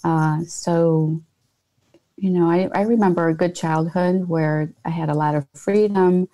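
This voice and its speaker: Low, mellow-voiced woman interviewee. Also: steady tone, a little slow, rough recording. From Poland. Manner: earnest, with frequent fillers and restarts. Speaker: guest entrepreneur. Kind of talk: conversational interview.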